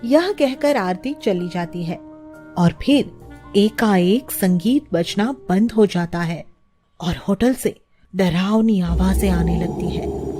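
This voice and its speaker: A woman's voice: medium pitch, dark tone. A woman narrating a scripted children's story as its storyteller.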